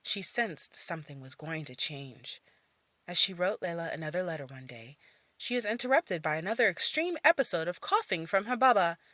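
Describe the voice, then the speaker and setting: A woman narrating a fantasy audio story in a measured, soft-spoken manner. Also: fairly low pitch, lively intonation, dry recording. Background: faint music.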